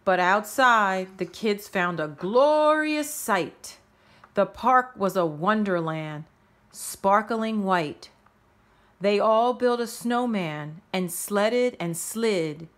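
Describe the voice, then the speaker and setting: A woman reading a rhyming children's story aloud in a measured, expressive storytelling manner. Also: mid-range voice. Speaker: storybook reader. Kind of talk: children's read-aloud narration in rhyme.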